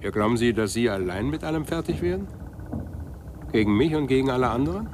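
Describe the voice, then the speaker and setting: A man's voice: mid-range voice, expressive, fast talker, lightly acted. Patronising businessman on noisy old radio, an actor's role. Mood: mocking, threatening. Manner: patronising, suave, mocking. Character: condescending, smooth, menacing male business magnate. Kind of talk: 1950s German radio play acting. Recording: noisy vintage 1950s radio recording.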